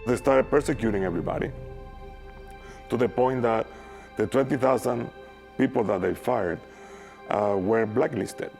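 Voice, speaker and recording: Gravelly Venezuelan-accented man in his 50s. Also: medium pitch, moderate pace, pauses often. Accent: Venezuelan Spanish. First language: Spanish. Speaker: former oil worker, interviewee. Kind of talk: documentary interview. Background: soft guitar music.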